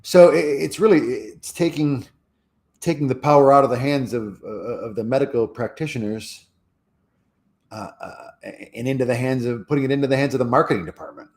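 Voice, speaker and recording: A man's voice: medium pitch, brisk, darkish tone. Earnest stammering man talking politics. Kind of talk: casual livestream discussion.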